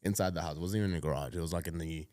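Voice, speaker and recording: A man in his thirties, born in Cuba, telling his life story as a podcast guest in a relaxed way, with lots of fillers, often trailing off quietly. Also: low voice, very fast, dry recording, studio quality. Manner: laid-back, many fillers, drops to a mutter at phrase ends. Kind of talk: casual storytelling chat.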